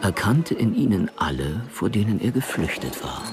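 A man's voice: on the low side, big pitch swings, normal speed, over music. A man narrating a crime radio play in a scripted, literary storytelling style.

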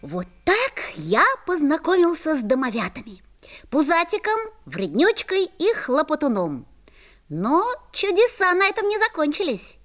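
Boy narrator, children's audio play: high, lively, wide-eyed, expressive. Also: a little slow, full character voice. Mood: excited, intrigued. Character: young boy narrating his magical adventures, wide-eyed.

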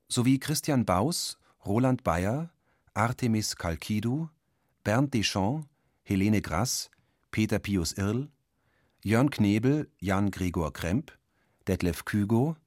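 A male announcer reads the credits of a radio play formally. His pitch is a little low, his pace unhurried, and the sound is close and dry. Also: no echo. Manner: measured, formal.

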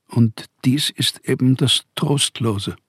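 An actor playing a weary, homeless older male writer in exile, speaking a melancholy, measured monologue in a radio drama. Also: mid-range voice, slightly rough, no echo, clean studio sound. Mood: weary sorrow.

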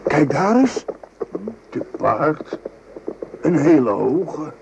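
A man playing an excitable French infantry soldier in a radio play, speaking in clipped, dramatic phrases. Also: a little high, very slow, vocal fry, full character voice. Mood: watchful, scornful. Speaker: actor. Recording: noisy old radio play recording.

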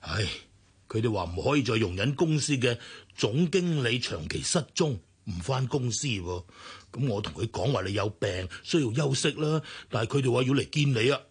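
A husky, sighing older company director. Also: male voice, mid-range voice, lively intonation, moderate pace. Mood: urgent, pleading. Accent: Hong Kong Cantonese. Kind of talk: radio drama acting.

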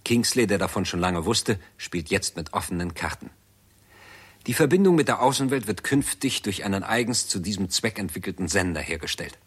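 A man giving sober, measured scripted narration for a 1960s German radio drama. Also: low voice, animated, husky.

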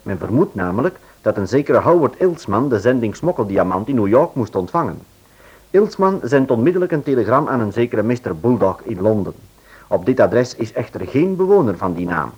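A man's voice: medium pitch, big pitch swings, a little fast, darkish tone, breathy edge, muffled recording. A man narrating and announcing a radio detective serial in a formal, dramatic manner. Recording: hissy old radio recording.

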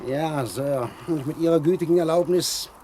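An actor playing a grumbling, cautious sea dog on a pirate ship in a radio play, blunt in manner. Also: male voice, on the high side, moderate pace, dark tone, a little hiss or hum, lightly acted. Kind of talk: German radio play acting.

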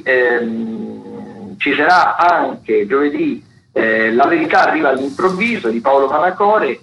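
A man giving theatre listings on the radio in a conversational, halting way, often repeating and correcting himself. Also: mid-range voice, a bit bright. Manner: halting, frequent repetitions and self-corrections. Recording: dull lo-fi sound, clear background noise.

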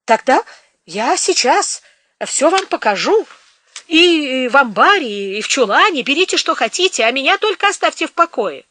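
A woman's voice: big pitch swings, normal speed, fairly bright tone, dry recording. A woman voicing a frightened, fawning peasant man who talks in a nervous, ingratiating way. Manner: nervous, high-pitched, ingratiating.

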